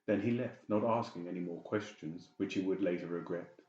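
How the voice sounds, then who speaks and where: A man's voice: low voice, brisk, a little mellow. A man narrating a dramatic audiobook story from a script. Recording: roomy, slightly dull sound.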